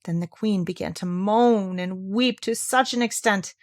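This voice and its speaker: A woman storyteller reading a fairy tale aloud in an expressive, theatrical and clearly enunciated way. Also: medium pitch, a little slow.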